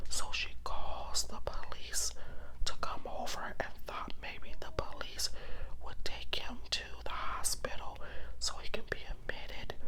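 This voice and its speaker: A storyteller of unclear sex whispering a true crime story for ASMR, in a hushed, deliberate, dramatic way. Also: sits high. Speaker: ASMR storyteller, channel host.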